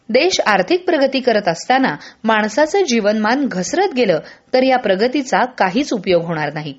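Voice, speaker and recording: A woman presents a scripted radio newsreel in a formal, measured manner. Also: on the high side. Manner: formal, measured news reading. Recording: dull lo-fi radio broadcast sound.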